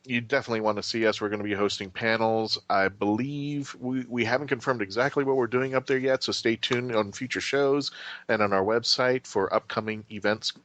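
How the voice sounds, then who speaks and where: A man's voice: mid-range voice, fairly even intonation, a little fast, fairly bright tone. A man co-hosting a podcast, announcing upcoming events in a casual way. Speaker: podcast co-host.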